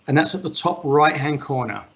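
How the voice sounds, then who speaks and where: A man hosting an online meetup in casual talk. He has a loud, projecting voice and rambles and jokes, with lots of fillers. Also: a little high, moderate pace, creaky, highs cut off. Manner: rambling, filler-heavy, joking. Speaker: meetup host.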